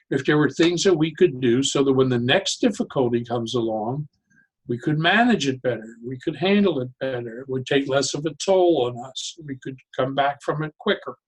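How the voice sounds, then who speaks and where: An older man talking reflectively as an interview guest, deliberate and halting, often stopping and restarting his phrases. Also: mid-range voice, slightly rough, low-quality recording. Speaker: interview guest, psychologist and humor educator.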